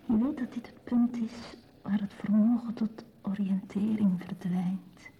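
A dreamy, grave woman speaks very slowly in a mellow voice, lyrical and solemn as in a poetic radio drama. The recording is dull and lo-fi. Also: medium pitch, fairly even intonation, vocal fry, slight background noise. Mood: dreamy, hushed. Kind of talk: poetic Dutch radio play monologue.

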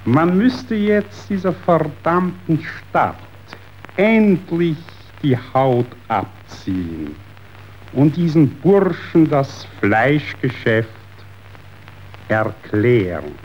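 A grandiose, ruthless meat tycoon in an epic radio play, speaks with emphatic rhetoric. His pitch sits high and swings widely, and he takes his time over the words in a muffled recording. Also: sing-song, very slow, a little mellow, full character voice. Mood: scheming, menacing. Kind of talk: 1930s epic theatre radio drama acting. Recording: dull, noisy old radio recording.

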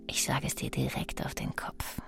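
A woman's voice: normal speed, faint music behind, close to an everyday voice. An actress playing a seductive, taunting woman in an intimate radio play, provocative and half-whispering.

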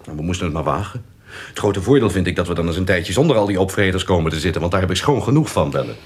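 A man playing a sly, easygoing rogue who poses as a merchant in a historical radio drama, with a husky voice and a theatrical, questioning manner. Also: sits low, expressive, racing. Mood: doubtful, scheming.

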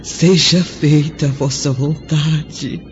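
A woman playing an old, devoted slave woman in a radio drama, speaking with slow reverence. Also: low voice, fairly even intonation. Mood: prayerful. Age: older. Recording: dull lo-fi audio under loud music.

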